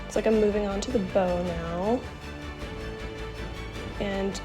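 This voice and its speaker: A woman's voice: mid-range voice, slow, over music. Stuffy-voiced young woman, sick, chatty. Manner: relaxed, rambling with many ums. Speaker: art channel host. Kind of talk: casual coloring voiceover. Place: home room, indoors. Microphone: camera mic, then voiceover.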